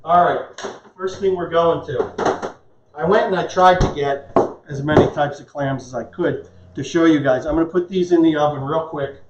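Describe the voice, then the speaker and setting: A man's voice: fairly high pitch, moderate pace, a little mellow, slight background noise. A man in his fifties hosting a live cooking demonstration in a casual, conversational, joking way. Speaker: chef and cooking show host. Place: home kitchen, indoors. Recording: echoey kitchen, dull muffled sound.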